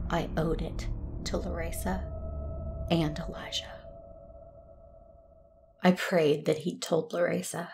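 A woman playing an anguished former Jedi Guardian trapped inside their own mind, narrating an audio drama with deliberate, dramatic intensity. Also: low-pitched, vocal fry. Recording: noisy ambient sound bed.

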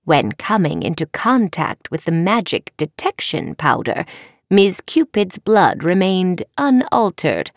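Woman voicing a stern, slow-spoken witch professor. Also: lowish voice, big pitch swings, dry recording, studio quality, heavily acted. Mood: grave, accusing. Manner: deliberate, formal admonishing. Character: stern old witch professor, measured and knowing.

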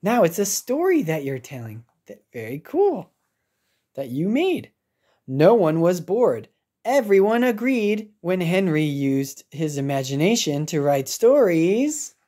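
American woman, low pitch, very lively intonation, English teacher, children's storybook read-aloud, simple expressive asides. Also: a little slow. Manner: expressive, simple reactions and explanations for young learners. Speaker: English teacher reading a picture book aloud.

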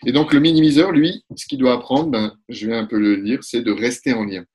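A husky, mid-pitched man in his forties talks through his ideas on a video call from a room at home. He speaks animatedly and acts out little back-and-forth exchanges. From Italy. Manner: animated, gestures a lot, acts out quick exchanges. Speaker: couples therapist. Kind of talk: explanatory webinar talk. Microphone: webcam on a video call.

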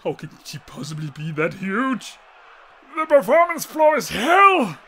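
A man playing a panicked, excitable soldier with a loud, alarmed manner in a fan-made manga drama. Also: very high voice, a little slow, breathy edge, heavily acted.

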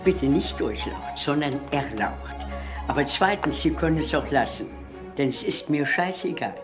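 A woman's voice: low voice, expressive, normal speed, over music. An older woman playing a haughty, blunt old countess in a German crime radio drama, with an aristocratic, brusque manner.

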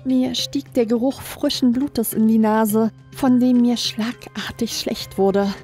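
A woman's voice: on the high side, steady tone, slow pace, warm tone. A woman reading scripted first-person horror narration as a creepypasta narrator. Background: background music.

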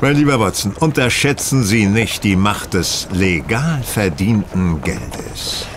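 An actor playing a self-assured, wry gentleman detective in a radio play, explaining in a measured, teasing way. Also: on the low side, expressive, noisy background. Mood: explanatory. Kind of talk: German radio play acting.

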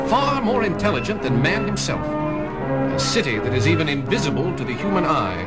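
An actor playing an earnest, anxious captive scientist in a children's audio comic, explaining with urgency. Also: male voice, a little high. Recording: music bed under voice.

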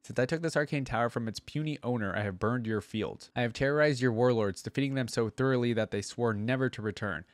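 A man's voice: medium pitch, fast talker, hardly any pauses, no echo, natural voice. A man voicing a small, pompous evil wizard who declaims grandly, as in a storybook reading. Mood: indignant, boastful.

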